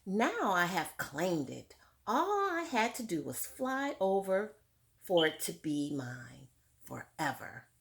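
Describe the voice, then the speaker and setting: A woman's voice: fairly low pitch, huge pitch swings, takes it slowly, creaky, a bit bright, exaggerated voice. A woman reading a picture book aloud in the voice of a proud, dreamy eight-year-old girl telling her own story.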